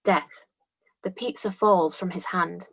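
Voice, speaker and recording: A woman's voice: on the low side, steady tone, slow pace, creaky. A woman reading scene descriptions from a script as dramatic narration. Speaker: script reader. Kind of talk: scripted narration, screenplay read-through.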